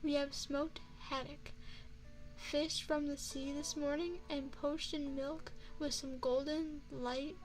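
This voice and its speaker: A woman playing an elegant, flirtatious vampire chef in an ASMR roleplay, speaking formally and courteously with deliberate, teasing phrasing into a close microphone. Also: high-pitched, flat delivery, faint music behind, low-quality recording. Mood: gracious, proud. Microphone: close binaural microphone.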